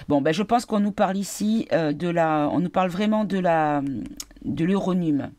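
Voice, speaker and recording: A woman's voice: on the low side, dark tone. Tired woman with a head cold. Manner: halting, searching for words with many fillers. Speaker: card reader. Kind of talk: casual spoken card reading.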